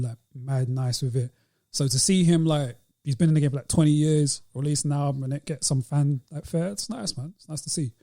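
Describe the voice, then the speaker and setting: A man with a British accent talks in a relaxed, mellow voice. He co-hosts a podcast and keeps it casual and slangy. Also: mid-range voice. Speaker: podcast co-host.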